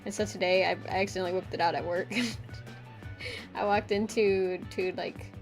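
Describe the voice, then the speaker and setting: A woman's voice: mid-range voice, fairly even intonation, normal speed, soft background music. A young woman chatting casually to her stream while drawing, rambling with lots of filler words and frequent giggles. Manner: giggly, playful voice play.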